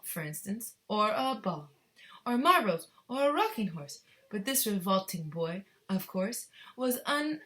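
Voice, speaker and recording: Woman as tiny storybook workers, rhyming chant, half sung, rhythmic, deliberate, gleeful, moralizing. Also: a little high. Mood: mock moralizing.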